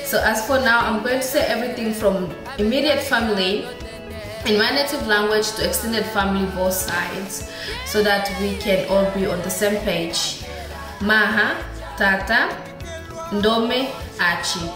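Young woman teaching, quick and echoey. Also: medium pitch. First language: Ebembe. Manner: quick, friendly explaining with repeated vocabulary drills. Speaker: language teacher. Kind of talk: casual online language lesson. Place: small indoor room. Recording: very echoey room with clear background music. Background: music.

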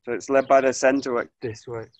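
A man in his thirties teaching an online aikido class, giving calm, short coaching cues outdoors in a grassy orchard, recorded on a camera mic as he moves nearer and farther. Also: medium pitch, brisk, dry recording. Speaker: aikido instructor. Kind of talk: live online class instruction. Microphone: camera mic, distance varies as he moves.

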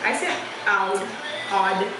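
A woman's voice: medium pitch, takes it slowly, distant mic. Woman, from California, beauty vlogger, chatty, giggly, casual makeup chat, very echoey room. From Fresno, California. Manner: chatty and giggly, laughs often. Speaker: beauty vlogger, channel host. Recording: echoey room with steady noise, a bit off-mic.

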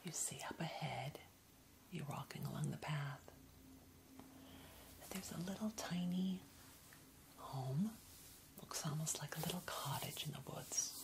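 A woman whispering breathily and gently, guiding a soothing, unhurried ASMR meditation. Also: low voice, rough recording. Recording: clear background noise, ambient hiss.

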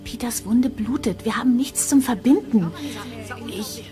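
A woman's voice: fairly high pitch, a little slow, over music, close to an everyday voice. An actress voicing a worried young woman in an audio drama, urgent and often whispering.

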